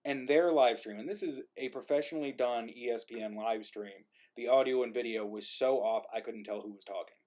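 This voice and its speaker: A man with a mid-range voice hosts an informal live stream. He talks casually and haltingly, as if working things out aloud while he speaks. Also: medium pitch, darkish tone. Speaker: host of an online live-streamed play reading. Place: remote group video stream.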